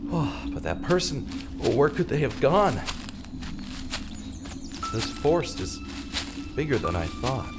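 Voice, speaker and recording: Over clearly audible music, an actor voices a distraught man narrating a dark audio drama in the first person. His pitch moves around widely. He speaks dramatically and haltingly, repeating himself as he blames himself. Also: sing-song. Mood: frustrated, weary. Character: distraught, self-blaming male radio man turned amateur pursuer.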